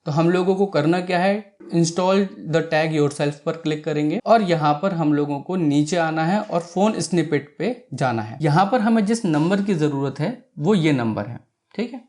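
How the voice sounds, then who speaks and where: Higher-pitched man teaching step by step. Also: moderate pace, darkish tone. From India. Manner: instructional, with frequent check-in tags and English technical terms mixed in. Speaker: tutorial presenter. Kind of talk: step-by-step screen tutorial, explanatory.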